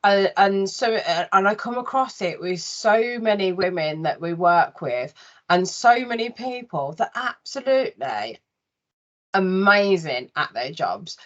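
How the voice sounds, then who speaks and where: Woman, Birmingham accent, mid-pitched, animated chatty interviewer, casual, dull lo-fi recording. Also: measured pace. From Birmingham, England. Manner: animated, chatty, acts out quoted remarks.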